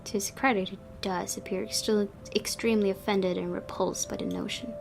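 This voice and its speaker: A woman's voice: medium pitch, fairly even intonation, normal speed, creaky. A woman hosting a gaming video, giving casual commentary and reading the story narration aloud. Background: game music.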